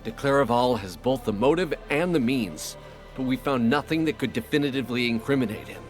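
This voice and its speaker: Grave mid-pitched investigator played by a man. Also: brisk, soft background music, lightly acted. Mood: grave worry. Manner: grave, matter-of-fact. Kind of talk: fantasy game dialogue.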